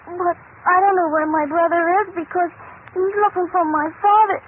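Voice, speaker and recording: A woman's voice: very high voice, steady tone, normal speed. A woman acting as an earnest, worried young child in 1940s radio drama style. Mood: worried, hopeful. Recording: tinny noisy old radio recording.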